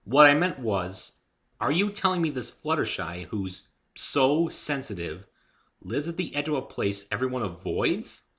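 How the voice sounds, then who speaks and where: A man's voice: mid-range voice, big pitch swings, measured pace, fairly bright tone, pitched above the performer's own voice. A man playing a wary, exasperated young hero in an amateur story reading, with a dry, questioning manner.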